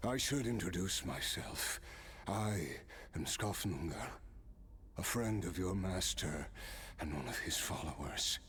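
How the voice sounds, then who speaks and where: An actor playing a weary, dying nobleman warrior in video game dialogue, speaking gravely, haltingly and formally. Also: sits low, expressive, a bit bright, slight background noise. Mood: solemn, halting.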